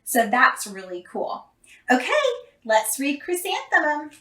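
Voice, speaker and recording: A middle-aged woman reads a children's story with big swoops of pitch and drawn-out emphasis. Her voice is bright, and it sounds roomy, a little away from the microphone. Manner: expressive, drawn-out emphasis on key words. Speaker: storybook reader. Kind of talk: animated children's story read-aloud. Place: small plain indoor room. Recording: roomy echo, distant microphone.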